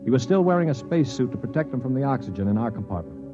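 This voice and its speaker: An actor playing a blunt, cynical Earthman prisoner who narrates a 1950s science fiction radio play in a sardonic, forceful manner. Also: medium pitch, very animated, racing, music in the background, natural voice. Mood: grim narration. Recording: muffled 1950s radio recording.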